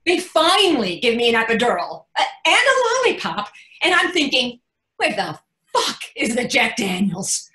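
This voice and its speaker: A woman's voice: a little high, wildly swooping pitch, moderate pace, pushed and bright, exaggerated voice. A woman playing a brash, panicky first-time mother in labor in a dramatic monologue, recorded on a video call. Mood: wry bewilderment. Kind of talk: dramatic monologue reading for readers theatre. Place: echoey room, video call. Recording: roomy echo over video call.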